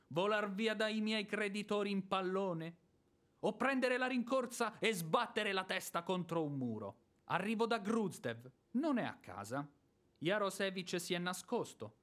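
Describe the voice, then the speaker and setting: An actor playing a blustering, hot-tempered landowner and former officer in a radio drama, emphatic, sarcastic and grumbling. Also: male voice, sits high, a bit bright. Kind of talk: theatrical radio drama acting.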